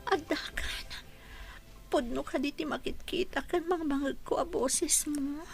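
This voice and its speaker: A woman playing an ailing, weary young wife in an Ilocano radio drama, breathy and husky, with an Ilocano Filipino accent. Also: high-pitched, unhurried, faint music behind. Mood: weak, hurt.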